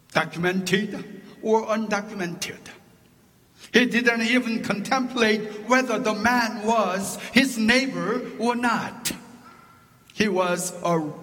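A man with a Korean accent, whose first language is Korean, preaching a sermon as pastor in a slow, deliberate way, stressing his points through lists. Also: sits high, a bit bright. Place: church sanctuary. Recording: long reverb in a church sanctuary.

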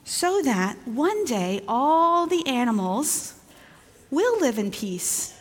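A woman speaks to young children in a church with long reverb. Her voice is a bit high, and she talks gently and informally, pausing between simple phrases. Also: big pitch swings. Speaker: church leader giving children's moment. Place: large indoor church.

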